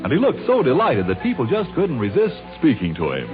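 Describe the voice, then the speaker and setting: A man's voice: medium pitch, wildly swooping pitch, brisk, over music. A man playing a genial, folksy narrator telling a merry tale in a 1940s radio drama. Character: genial male storyteller recounting a merry tale. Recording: tinny, band-limited 1940s radio recording.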